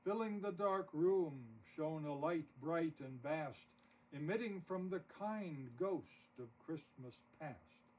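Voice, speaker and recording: A man narrating a rhymed story poem expressively, with dramatic, deliberate phrasing. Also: on the high side, lively intonation, darkish tone. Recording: distant mic with audible noise.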